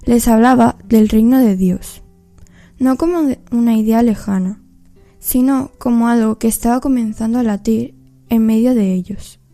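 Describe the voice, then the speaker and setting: A woman narrates a scripted story in a solemn, reflective way. Her voice is somewhat high yet extremely soft and dark in tone, over faint music. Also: fairly even intonation, normal speed.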